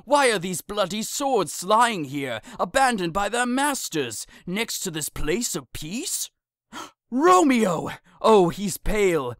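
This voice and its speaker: A woman's voice: mid-range voice, very animated, takes it slowly, no echo, clean studio sound. A woman playing an anxious, kindly old friar in an audio drama, fretful and exclamatory. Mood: alarmed, horrified. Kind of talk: audio drama acting of modernized Shakespeare.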